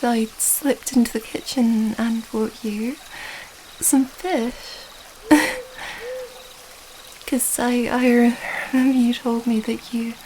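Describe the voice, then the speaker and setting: A woman's voice: fairly high pitch, darkish tone, slight background noise, rough recording. A woman playing an affectionate young princess in love, speaking tenderly and hesitantly in a romantic fantasy ASMR roleplay.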